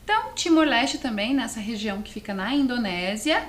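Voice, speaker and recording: High-voiced Brazilian woman teaching in an echoey room. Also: sing-song, a little fast. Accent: southern Brazilian. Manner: explanatory, often asks questions and adds checking tags. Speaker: language teacher presenting a televised class. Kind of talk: didactic lesson addressed to students. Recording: roomy echo, faint background noise.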